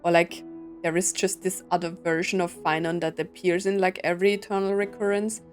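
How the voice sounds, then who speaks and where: A woman's voice: lowish voice, level tone, a little fast. Woman musing over game music. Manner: musing aloud in short reactions. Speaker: game streamer. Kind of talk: casual playthrough commentary, reading game text aloud.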